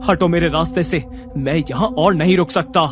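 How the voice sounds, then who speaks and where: Man as high-pitched earnest, defiant young man. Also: moderate pace, music in the background, close to an everyday voice. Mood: firm, irritated. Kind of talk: Hindi audio drama acting.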